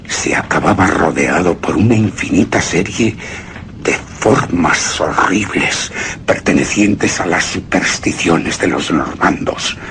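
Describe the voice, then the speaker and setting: Haunted widower narrator, low voice, solemn gothic radio drama delivery, faint noise behind. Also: male voice, a bit bright, full character voice. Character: brooding, obsessive widower narrating his haunted past.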